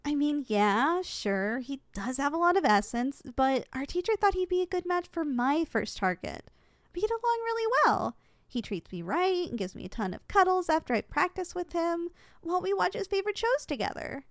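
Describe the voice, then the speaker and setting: Woman acting a high-voiced, expressive young succubus trainee. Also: normal speed, no echo, studio quality, full character voice. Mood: bashful, fond. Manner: expressive, flustered, coaxing. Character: eager young succubus trainee, sweet and easily flustered. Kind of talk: ASMR roleplay voice acting.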